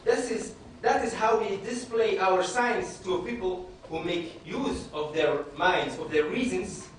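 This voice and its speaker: A man in his thirties, raised in Belgium with Dutch as his first language, giving an earnest, measured lecture from a panel table in a hall, often restarting his phrases. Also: sits high, slight background noise. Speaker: panelist speaker. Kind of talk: public lecture. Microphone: table microphone.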